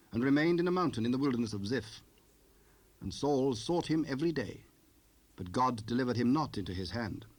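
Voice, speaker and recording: A man narrating a dramatized scripture recording in a formal, measured storytelling manner. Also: mid-range voice, darkish tone, close to an everyday voice.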